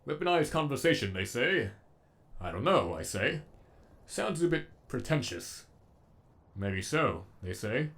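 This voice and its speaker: A man's voice: medium pitch, sing-song, measured pace, gravelly, long pauses, fairly bright tone, heavily acted. A man voicing a sadistic, erudite robot villain with an eloquent, menacingly polite delivery, as in a comic dub. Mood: silky, menacing.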